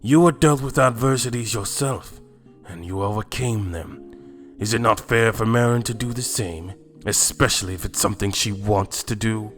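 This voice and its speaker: A man's voice: on the low side, moderate pace, soft background music, lightly acted. A man playing a proud, blunt alien warrior in a fan-made anime drama, challenging with pointed questions. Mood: exasperated.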